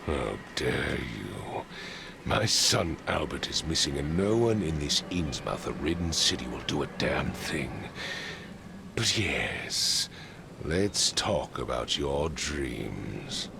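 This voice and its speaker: An actor playing a haughty, impatient middle-aged patrician father in a video game, speaking formally and curtly in a gravelly voice. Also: extremely low pitch, very animated, slow pace, background noise, heavily acted. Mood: indignant, impatient. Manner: imperious, formal, curt. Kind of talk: dramatic video game voice acting.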